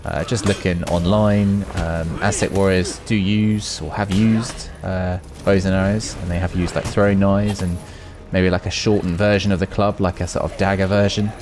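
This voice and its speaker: A man's voice: deep voice, little pitch movement, normal speed, hardly any pauses, background noise. A man hosting a gaming video, giving casual, unscripted commentary and musing aloud as he goes. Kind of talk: casual off-the-cuff gameplay commentary.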